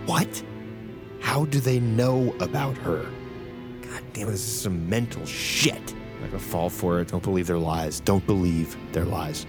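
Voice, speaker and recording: An actor playing a tense detained assassin, halting and pleading with strained politeness, in an audio drama. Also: male voice, low voice, over loud music. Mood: suspicious, agitated. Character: tense, desperate detained male assassin insisting he is sane.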